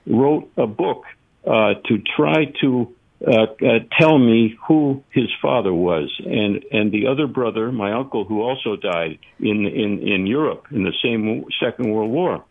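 Eighty-year-old man calling in by phone. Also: fairly low pitch. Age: 80s. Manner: halting, reflective, many fillers. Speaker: caller. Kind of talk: call-in radio chat. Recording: phone line.

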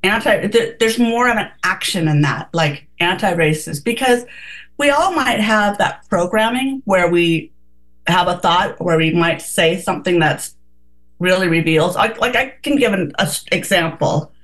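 Earnest woman, low-pitched reflective talk. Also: lively intonation, unhurried, fairly bright tone. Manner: earnest, reflective, many restarts. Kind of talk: casual radio talk.

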